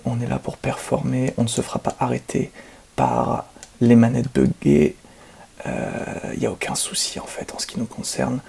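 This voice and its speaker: A man playing a boastful, excuse-making video game speedrunner in an ASMR roleplay, speaking softly and half-whispered with smug, hesitant phrasing. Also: medium pitch, full character voice. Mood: flustered, excuse-making. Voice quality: soft, breathy, half-whispered, creaky. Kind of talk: ASMR roleplay, soft gaming commentary.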